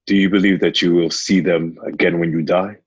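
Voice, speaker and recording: A grave, grieving Roman gladiator, played by a man in an audio drama. His voice is deep and husky, and the recording is dull and muffled. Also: fairly even intonation, brisk. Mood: grave, mournful. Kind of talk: dramatic script reading.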